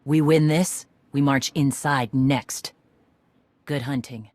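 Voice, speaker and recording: A woman's voice: rumbling low, fairly even intonation, slow, a little mellow, slight background noise. An actress playing a tough, blunt female clan commander in a video game, with a dry, authoritative manner. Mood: commanding.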